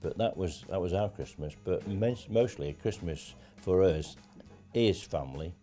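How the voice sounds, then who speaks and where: Old guy, really low warm voice, bit halting, judge. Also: expressive, soft background music. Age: older. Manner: hesitant, halting delivery. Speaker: talent show judge. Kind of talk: TV interview answers.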